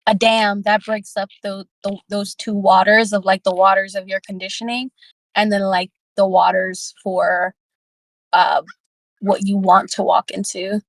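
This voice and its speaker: A woman's voice: mid-range voice, little pitch movement, gravelly, dry recording, low-quality recording. Casual woman thinking aloud, dry close sound. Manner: informal, halting, slangy, thinking aloud. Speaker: book club discussion participant. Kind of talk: casual group discussion, some reading aloud.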